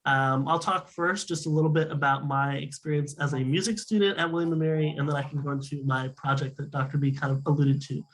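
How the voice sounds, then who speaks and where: A young adult man, a music student, talking on a panel, upbeat and enthusiastic, hoarse and raspy because he is losing his voice. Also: on the high side, fairly even intonation, fast, few pauses, a bit bright, low-quality recording. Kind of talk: panel discussion.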